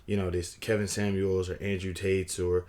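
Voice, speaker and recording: A man with a very low, hoarse voice talks straight to camera in a loose vlog. He rambles, restarts his sentences and keeps a wry, conversational tone. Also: little pitch movement, normal speed, darkish tone. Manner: rambling, frequent restarts and fillers. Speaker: vlogger. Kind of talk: casual talking-head vlog.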